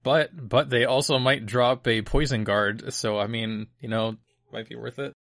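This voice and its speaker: A man's voice: medium pitch, steady tone, normal speed, fairly bright tone, no room sound, studio quality. A man co-hosting a podcast, chatting casually and explaining details at length with frequent uhs and likes. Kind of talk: casual chat about a video game.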